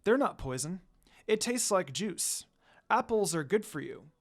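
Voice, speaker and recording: A man's voice: high-pitched, animated, unhurried. A man voicing a shy, polite young princess with a nervous, apologetic manner in a story reading. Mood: earnest. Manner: nervous, apologetic, soft-spoken.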